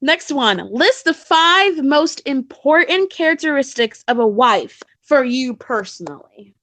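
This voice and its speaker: Female co-host reading questions casually: giggly, slow-paced, close dry sound. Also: high voice, animated, a bit bright, dry recording.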